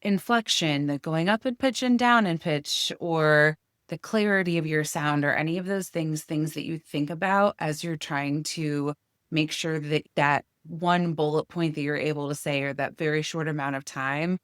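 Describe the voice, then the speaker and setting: A woman hosts an interview and asks long, engaged questions. Her voice is deep for a woman, smooth and resonant, and it is recorded close and dry. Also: normal speed, no room sound. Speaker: host. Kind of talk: interview conversation.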